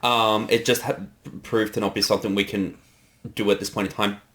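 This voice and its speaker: A man's voice: on the low side, little pitch movement, a little fast, a bit bright. A man giving casual, unscripted creator commentary, rambling and often correcting himself as he goes.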